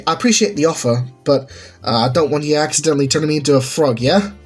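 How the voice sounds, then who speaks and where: Man voicing a teasing, husky young woman. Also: on the high side, fast talker. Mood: wry, joking. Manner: mocking, casual, slangy. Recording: music under the voice.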